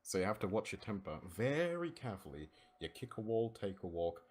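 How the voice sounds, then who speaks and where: A man's voice: fairly low pitch, sing-song, a little fast. An Australian man doing an informal read-aloud of a script as an amateur narrator, voicing the dialogue himself.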